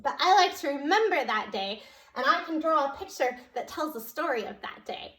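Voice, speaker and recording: A woman's voice: high voice, lively intonation, normal speed, a bit bright. A woman telling a story to preschoolers as a children's librarian, in a sing-song, child-directed way with frequent questions. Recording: roomy echo.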